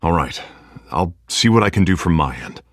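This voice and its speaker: Earnest, reassuring confidant, deep register, lively inflection, game drama. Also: male voice, moderate pace, dry recording, clean studio sound. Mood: earnest, concerned. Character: earnest male ally promising help. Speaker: voice actor. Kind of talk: video game dramatic dialogue.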